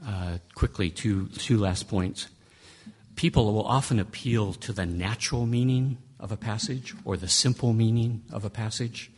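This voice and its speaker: Husky, emphatic male Bible lecturer. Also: on the low side, normal speed. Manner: conversational, emphatic, with frequent restarts and rhetorical questions. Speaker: Bible teacher. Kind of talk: lecture on interpreting scripture.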